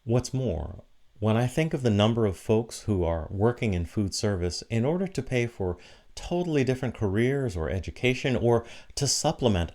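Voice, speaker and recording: A man delivering a prepared, reflective sermon with measured, deliberate phrasing and short pauses for emphasis. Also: fairly low pitch, darkish tone. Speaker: preacher giving a sermon for an online church service.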